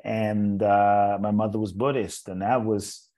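A man in his forties who grew up in England, a speaker telling his life story as an interview guest in an earnest, measured way, often repeating words as he builds a thought. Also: on the low side, level tone, mellow. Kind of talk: reflective personal storytelling in an interview.

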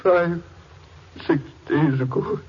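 A dull vintage radio recording of a wounded, grieving farmer. His high voice is weak and tearful, dragging very slowly through halting, rustic phrases. He sounds broken and vengeful, in full radio drama style. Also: breathy edge. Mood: bitter, anguished. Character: grief-stricken, injured middle-aged male Texas farmer, vengeful. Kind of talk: 1940s-50s radio drama acting. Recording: muffled old-time radio recording, faint noise.

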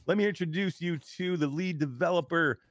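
A man hosting a casual live gaming show, energetic and joking, laughing often and swearing casually. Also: a little high, fairly quick, no room sound, studio quality. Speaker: show host.

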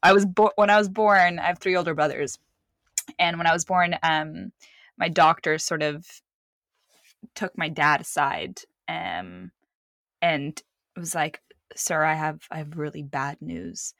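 A woman's voice: sits low, steady tone, measured pace, vocal fry, a bit bright, no room sound, clean studio sound. A young woman from the Middle East, a filmmaker guest, telling personal stories in a candid, rambling way with many restarts. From Middle East (born Saudi Arabia, raised Lebanon). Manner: rambling, self-correcting, acts out quoted voices. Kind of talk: candid conversational storytelling.

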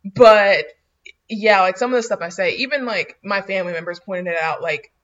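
A woman's voice: mid-range voice, moderate pace, fairly bright tone, dull audio. A woman in her early twenties chatting casually as a podcast co-host, blunt and full of fillers and laughs. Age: 20s. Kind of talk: casual chat.

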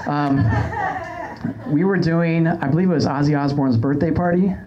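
A man's voice: on the high side, steady tone, moderate pace. An American man hosting a podcast, bantering wryly in casual comedic chat. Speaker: podcast host. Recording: muffled audio with background noise.

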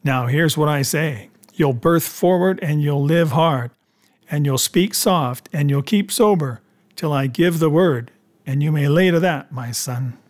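A man's voice: on the high side, unhurried, lightly acted. Man playing a cunning, scornful pirate sea cook. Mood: stern, controlled. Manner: emphatic, rustic seafaring speech. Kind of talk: dramatised adventure audiobook.

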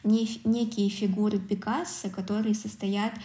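A woman co-hosting a podcast, giving measured, analytical commentary in conversation, recorded with roomy echo. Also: mid-range voice, steady tone, warm tone. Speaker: podcast co-host. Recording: roomy, echoing sound.

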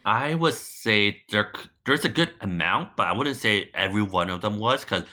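Deep-voiced young man from Hong Kong. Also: normal speed. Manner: relaxed, hesitant, many likes and restarts. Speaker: podcast guest. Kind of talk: casual interview chat.

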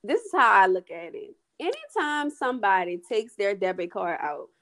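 A woman's voice: medium pitch, very animated, moderate pace, a bit bright. A woman business owner talking in a casual chat, earnest and emphatic, often asking if you know what she means.

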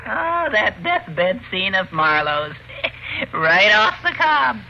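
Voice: mid-range voice, sing-song, slow pace, vocal fry, heavily acted. An actor playing a jeering, wisecracking ventriloquist's dummy in an old radio mystery, with a cackling, mocking drawl. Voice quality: sharp, cackling voice. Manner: mocking, drawling showbiz patter. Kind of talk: 1940s radio mystery acting. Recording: muffled old radio recording, faint noise.

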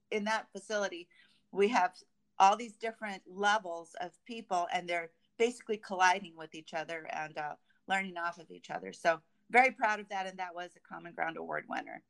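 A woman with a clear, smooth voice at a middling pitch. She talks as an interview guest from a company, conversational and keen. She often pauses and restarts as she looks for the right word. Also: fairly bright tone. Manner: halting, searching for words. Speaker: guest, construction company representative. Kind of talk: conversational interview answers about company projects.

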